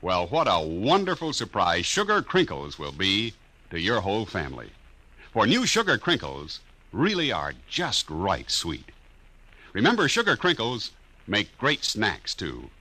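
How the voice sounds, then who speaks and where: A man's voice: on the low side, huge pitch swings, unhurried, bright, forceful tone, rough recording. Cheerful 1950s radio ad announcer, man. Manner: cheerful, folksy salesmanship. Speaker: commercial announcer.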